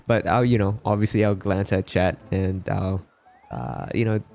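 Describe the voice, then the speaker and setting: A man's voice: lowish voice, steady tone, normal speed, creaky, darkish tone, soft background music. A man from the Philippines chatting casually as he streams a game live. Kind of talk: casual gaming livestream chat.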